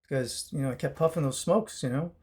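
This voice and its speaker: Raspy-voiced man, rambling and reflective. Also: fairly high pitch, fast talker, darkish tone. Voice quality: raspy, chesty. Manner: rambling, with many fillers and restarts. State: recovering after a long fast. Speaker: vlogger. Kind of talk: casual talk to camera.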